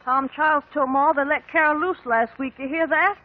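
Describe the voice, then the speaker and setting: A woman's voice: high-pitched, steady tone, fairly quick, natural voice. A woman playing an anxious, pleading young farm wife with a rural American accent in a vintage radio drama. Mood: wistful. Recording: dull, band-limited 1950 radio recording.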